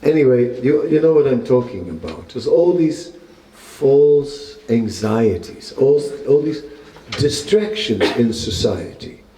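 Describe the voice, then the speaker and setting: An older man giving a relaxed scripture class to a small group, talking in a conversational, wry way and chuckling at his own jokes. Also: mid-range voice, slow pace. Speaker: monk lecturer. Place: small temple room with seated listeners. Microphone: stand mic close in front. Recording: roomy echo of a small temple room.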